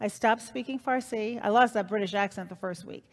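A woman in her sixties, a guest telling a personal story in a measured, reflective way. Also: medium pitch. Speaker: interview guest.

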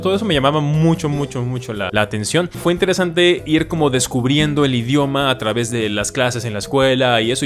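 A man in his thirties with a Latin American Spanish accent, explaining to camera in a home room on a close desk mic. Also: on the high side. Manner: animated, gestures a lot, acts out quoted questions. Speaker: language-learning YouTuber. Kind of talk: casual explanatory monologue to camera. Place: home room with bookshelves, indoors. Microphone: desk condenser mic close in front.

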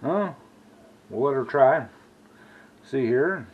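A man's voice: mid-range voice, lively intonation, takes it slowly, breathy edge, phone-quality sound. A middle-aged man, rambling in a folksy way as he cooks and talks in his home kitchen. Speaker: cooking vlogger. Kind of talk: casual cooking vlog.

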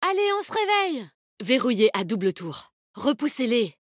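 A woman's voice: fairly high pitch, very animated, moderate pace, dry recording, studio quality. An actress playing a tough, confident, protective woman fighter in a video game, with a bold, cheeky, commanding manner. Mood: commanding, rallying. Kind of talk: video game character voice lines, combat callouts.

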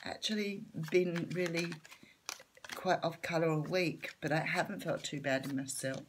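A middle-aged Australian woman with a hoarse, croaky voice, chatting casually as she reads tarot cards at home. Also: fairly low pitch, moderate pace, background noise. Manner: chatty, open, laughs lightly. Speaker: tarot reader. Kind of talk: casual tarot reading to camera. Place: home room at a table.

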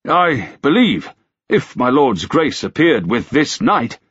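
A man's voice: mid-range voice, wildly swooping pitch, takes it slowly, pushed and bright, slightly rough, highs cut off, pitched above the performer's own voice, full character voice. A man voicing a deferential medieval constable who advises his lord carefully, in audiobook dialogue. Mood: tentative.

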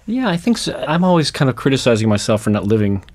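A man's voice: mid-range voice, sing-song, quick pace, a little mellow, no room sound, clean studio sound. A man, an actor, talking casually in an interview. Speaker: actor on a panel.

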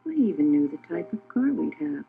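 A weary small-town checkout clerk in an audio drama. Her lowish voice moves expressively up and down, wistful and searching. The sound is thin and phone-like. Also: sing-song, a little fast, gravelly, heavily acted. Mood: wistful, dreamy. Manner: wistful, introspective, hesitant. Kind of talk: contemporary audio theater, naturalistic acting. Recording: tinny band-limited sound, faint music.

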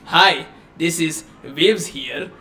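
A man putting on an Indian accent as a calm tutorial presenter. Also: on the high side, extremely slow, a little hiss or hum, heavily acted. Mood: measured. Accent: put-on Indian.

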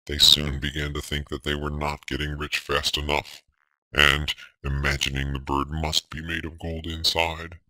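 A man's voice: monotone, normal speed, sharp tone, no room sound, poor audio. A man reading a storybook fable, his voice run through a robotic voice changer. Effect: voice changer, pitched-down robot filter. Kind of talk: scripted storybook narration.

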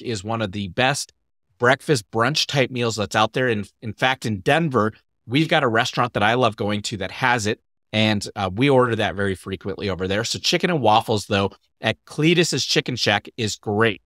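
A man's voice: mid-range voice, a bit bright, no echo. Upbeat man vlogging, dry close sound. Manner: upbeat, eager recommending. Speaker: theme park vlogger. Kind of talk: casual recommendations to camera. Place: home room with shelves. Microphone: headset microphone.

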